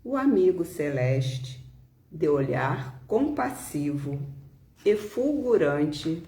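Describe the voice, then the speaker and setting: A woman with a Brazilian Portuguese accent leading a devotional reflection with prayer and reading, solemn and deliberate, pausing between short phrases. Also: sits low, sing-song, warm tone. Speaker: volunteer leader of a spiritist prayer live stream. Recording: roomy, echoing home live stream.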